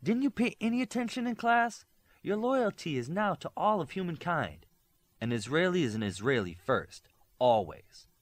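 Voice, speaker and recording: A proud young male superhero, acted out by a man reading an audiobook, argues with emphatic force. The voice sits raised and swings widely in pitch, confrontational in manner. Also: very animated, normal speed. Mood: angry, scornful.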